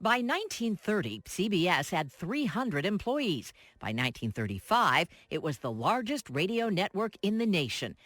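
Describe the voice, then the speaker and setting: A woman's voice: on the low side, big pitch swings, normal speed, few pauses, fairly bright tone, dry recording. An American woman working as a news correspondent, narrating a scripted radio feature. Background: music.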